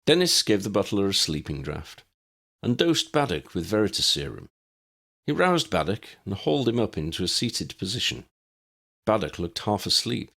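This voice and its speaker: A man's voice: lowish voice, sing-song, normal speed, creaky, fairly bright tone. A man reading scripted story narration as an audiobook narrator.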